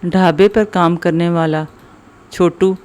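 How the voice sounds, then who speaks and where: A man's voice: sits high, fairly even intonation, slow pace, darkish tone. A man narrating a scripted moral story for children.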